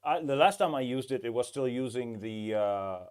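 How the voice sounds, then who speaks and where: A man hosting a casual tech podcast over a remote call, chatty and quick to chime in with short agreements. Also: mid-range voice, animated. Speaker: podcast host.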